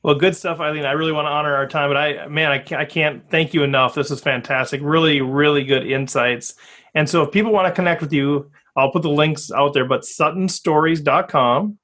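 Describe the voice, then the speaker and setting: A man hosts a casual podcast chat in a fairly high voice, friendly and joking. He keeps the talk moving and wraps up the segment easily. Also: a little fast, a bit bright. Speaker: podcast host. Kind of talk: casual interview hosting.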